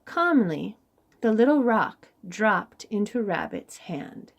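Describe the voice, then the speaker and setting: Slow, expressive woman reading a storybook. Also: medium pitch. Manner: dramatic, deliberate, with pauses for young listeners. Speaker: children's story reader. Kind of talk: expressive storybook read-aloud.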